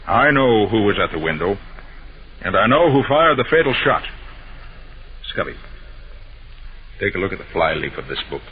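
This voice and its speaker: Tinny noisy old radio recording, assured American male master detective, lively intonation, clever, 1940s radio-play style. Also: on the low side, normal speed, pauses often. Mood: assured, accusing. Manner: assured, explanatory. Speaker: radio drama lead actor.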